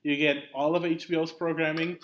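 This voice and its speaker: A man's voice: a little high, level tone, a little fast, fairly bright tone, slight background noise. A young man with a slight Mexican accent, co-hosting a casual podcast and explaining things at length with many fillers. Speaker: podcast co-host. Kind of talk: casual chat.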